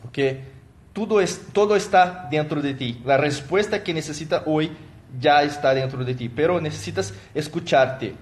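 A man with a slightly higher voice, whose first language is Portuguese, hosts a live stream as a motivational coach. His delivery is halting, full of fillers, restarts and small self-corrections, and he thanks viewers by name as they comment.

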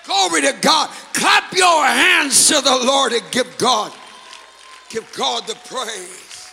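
A male pastor whose first language is Spanish, preaching with shouting, sing-song phrases and calls to the congregation. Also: very high voice, slow, vocal fry, long reverb tail, some chatter behind, slight background noise. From Latin America. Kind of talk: sermon. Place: church sanctuary.

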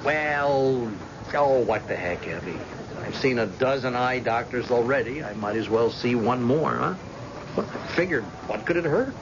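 A man's voice: mid-range voice, lightly acted. An actor playing a stubborn, cagey middle-aged blind father in an old-time radio drama, with a hesitant, folksy delivery. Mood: cheerfully evasive. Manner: hesitant, folksy, halting interjections. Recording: muffled, noisy old radio recording.

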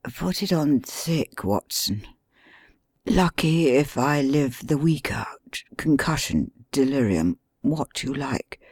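Injured detective in a weak hoarse whisper, quietly commanding, put on by a male audiobook reader; dry close sound. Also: medium pitch, expressive, takes it slowly, no room sound. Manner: weak muttering, urgent instructions. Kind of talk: audiobook reading.

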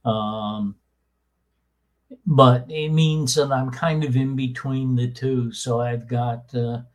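A man hosting a show, talking casually in a halting way with many fillers and restarts. His voice sits between a baritone and a tenor. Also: fairly even intonation. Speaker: podcast host. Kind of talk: casual explanatory talk. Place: small room with soundproofing under way.

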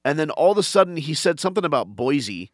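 A man who hosts a comedy podcast, telling stories in animated casual chat and acting out crowd voices. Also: a little high, fast, dry recording, clean studio sound. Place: podcast studio.

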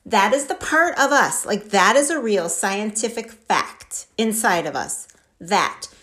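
A woman hosts her own podcast, talking in a casual, enthusiastic way. Her pitch is very animated and leaps around as she makes her points, and she keeps checking in with "right?". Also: measured pace. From Wisconsin. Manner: chatty, enthusiastic, lots of fillers like "right?" and "like". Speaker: podcast host. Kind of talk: casual motivational talk.

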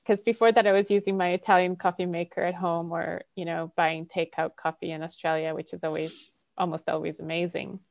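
A woman's voice: lowish voice, little pitch movement, fairly quick, creaky, few pauses, no room sound. A woman hosting a podcast in casual conversation, chatty and laughing often, with lots of fillers. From Canada. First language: Polish.

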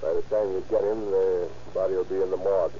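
An actor playing a brisk, commanding homicide captain in old radio crime drama style, clipped and authoritative. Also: male voice, deep voice, steady tone, warm tone, close to an everyday voice. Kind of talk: 1930s-40s radio crime drama acting. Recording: muffled, noisy old-time radio recording.

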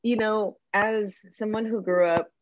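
A woman's voice: medium pitch, steady tone, unhurried, dry recording. A woman, a Tagalog speaker from the Philippines, talking casually and reflectively in an informal, self-deprecating way. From born in the Philippines, moved to the United States at ten. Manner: informal, self-deprecating, checks in with "you know". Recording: slightly dull, lo-fi audio.

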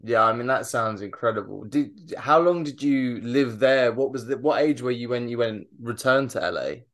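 A man with a mid-range voice hosts an interview. He puts questions in a loose, conversational way and fills the guest's pauses with short murmurs of assent. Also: medium pitch, fairly bright tone. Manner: attentive, many short backchannels. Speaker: interview host.

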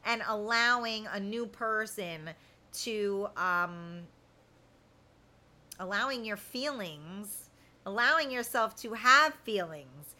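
A woman's voice: medium pitch, lively intonation, slow, sharp tone. A woman in her fifties hosting a casual live chat, talking to viewers in a candid, blunt, colloquial way. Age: 50s. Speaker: host of a live chat show.

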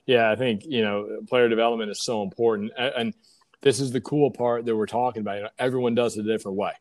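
A man's voice: mid-range voice, steady tone, fast, darkish tone. A man hosting a podcast interview, conversational and enthusiastic, wordy and often doubling back on his phrases.

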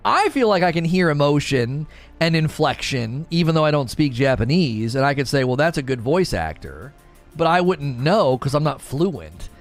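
A man's voice: a little high, big pitch swings, moderate pace, faint music behind. A man hosting a casual livestream talk show, chatting and answering viewers with rambling enthusiasm and frequent repeats. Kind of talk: casual livestream chat reading viewer comments.

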